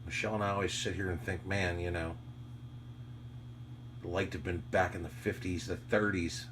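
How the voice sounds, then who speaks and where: A man's voice: low voice, steady tone, normal speed. An American man, an amateur radio operator, rambling casually and nostalgically in a reflective, conversational monologue. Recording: clearly noisy audio with hum.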